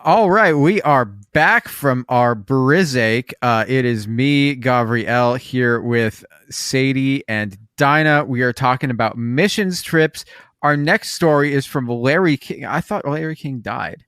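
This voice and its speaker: A man's voice: medium pitch, takes it slowly, a bit bright, clear, resonant tone, dry recording, clean studio sound. A man co-hosting a podcast, introducing segments and reacting lightly. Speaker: podcast co-host. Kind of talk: casual podcast chat.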